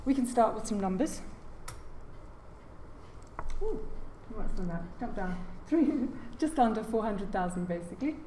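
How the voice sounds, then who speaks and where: A soft-spoken woman giving a formal lecture as a guest speaker, with frequent hesitations and fillers. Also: mid-range voice, expressive, measured pace. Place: large lecture room. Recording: echoey lecture hall, faint noise.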